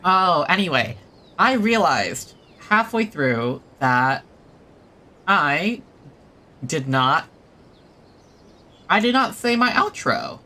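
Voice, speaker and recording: A game streamer chatting casually to viewers, rambling and low-key with a self-deprecating touch. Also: very animated, slow, long pauses, sharp tone. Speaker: livestreamer playing a video game. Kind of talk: casual livestream chat and game commentary.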